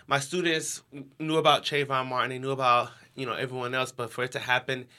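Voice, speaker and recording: A man's voice: medium pitch, level tone, a little fast, sharp tone. A young American man speaking informally as an interview guest, peppering his talk with "like". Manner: informal, heavy use of "like". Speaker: interview guest, education nonprofit co-founder. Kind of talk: casual conversational interview.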